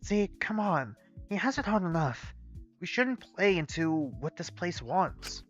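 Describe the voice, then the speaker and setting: A man voicing a shy, gentle young story character who is soft-spoken and quietly sassy. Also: high-pitched, big pitch swings, measured pace, muffled recording, faint music behind, pitched above the performer's own voice. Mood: gently pleading. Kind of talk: acted story dialogue.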